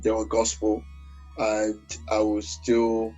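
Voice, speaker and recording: A low, husky man with a Nigerian accent rambles through his answers with fillers and false starts. His voice comes through a dull, lo-fi recording. Faint music sits behind it. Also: completely flat delivery, slow pace, dark tone. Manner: rambling, many restarts and fillers. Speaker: gospel singer guest. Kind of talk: relaxed interview conversation.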